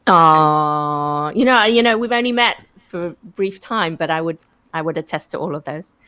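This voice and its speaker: A woman co-hosting a live online talk show, asking a guest quick-fire questions over a video call. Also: low voice, expressive, pushed and bright, clear, resonant tone. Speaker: show co-host.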